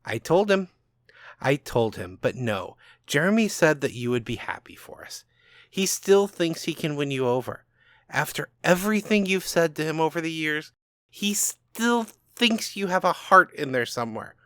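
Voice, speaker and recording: A man's voice: a little high, big pitch swings, normal speed, a bit bright, dry recording, studio quality, pitched above the performer's own voice. A man voicing a grown daughter in an audiobook, heated and exasperated with her father. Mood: exasperated, hurt.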